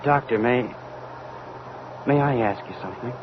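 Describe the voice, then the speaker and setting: A man's voice: moderate pace, lightly acted. Actor as earnest young crewman, noisy old radio. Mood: hesitant, earnest. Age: young adult. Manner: hesitant, respectful, earnest. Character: young, earnest, deferential physicist and rocket radio operator. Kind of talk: vintage American radio drama acting. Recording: muffled vintage radio recording, loud hiss, rumble.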